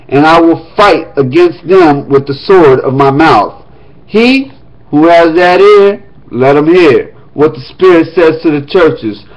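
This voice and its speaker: A man's voice: fairly high pitch, dull audio. A man reading the Bible aloud in an emphatic, declamatory manner, with casual touches. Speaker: scripture reader.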